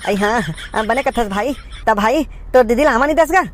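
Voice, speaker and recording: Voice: mid-range voice, normal speed, slight background noise, lightly acted. A performer, heard as a woman, voicing a nagging, teasing villager in a cartoon comedy with a Chhattisgarhi accent. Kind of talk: cartoon comedy skit dialogue.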